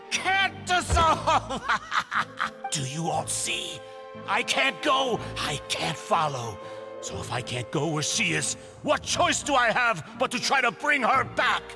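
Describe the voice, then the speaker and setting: A desperate, grief-crazed game villain, theatrical and obsessed. His voice runs slightly high and swings wildly, with slow, drawn-out anguish, over loud music. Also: creaky, exaggerated voice. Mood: hysterical grief. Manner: theatrical, unhinged, anguished delivery. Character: desperate grief-maddened male villain obsessed with lost lover. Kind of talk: dramatic video game voice acting. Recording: music under the voice.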